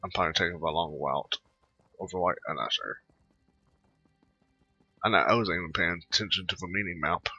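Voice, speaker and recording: A man's voice: low voice, a little fast, pauses often, sharp tone, husky, no echo, dull audio, faint music behind. A man casually commenting on his video game, meandering and unsure as he thinks aloud. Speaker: gameplay commentator.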